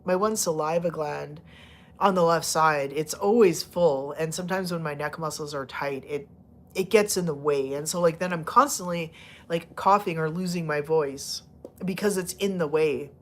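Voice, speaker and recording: A woman's voice: low-pitched, normal speed. A woman hosting a casual live stream, chatting with viewers and cooing at her cats, laughing often and rambling with fillers as her voice starts to go. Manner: giggly, playful baby-talk to her cats. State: losing her voice. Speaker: livestream host talking to viewers and her cats.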